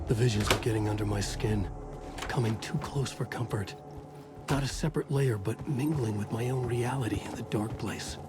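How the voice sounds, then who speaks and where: A man's voice: medium pitch, normal speed, natural voice. An actor playing a troubled, brooding male novelist in a noir video game, introspective in his delivery. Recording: loud music behind the voice.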